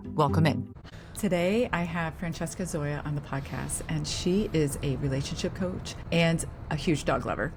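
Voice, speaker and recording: A middle-aged woman hosting a podcast, giving a smooth, polished introduction and welcoming her guest. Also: low voice. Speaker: podcast host and coach. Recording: music under the voice.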